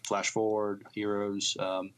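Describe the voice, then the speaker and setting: A man with an American accent speaks in a lowish voice as a podcast co-host. His chat is casual and somewhat hesitant, coming out in halting pieces. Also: monotone.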